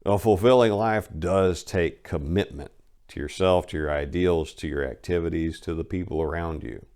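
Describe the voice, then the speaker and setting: A man reading a memoir aloud with dramatic emphasis, then commenting on it, with loud stresses and dropped asides. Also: sits low, normal speed.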